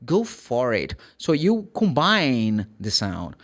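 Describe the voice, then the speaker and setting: A man's voice: mid-range voice, wildly swooping pitch, takes it slowly. A man from Brazil with a Brazilian accent, a native Portuguese speaker, teaching English pronunciation informally and emphatically, often answering his own questions. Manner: emphatic, asks and answers own questions, acts out short examples.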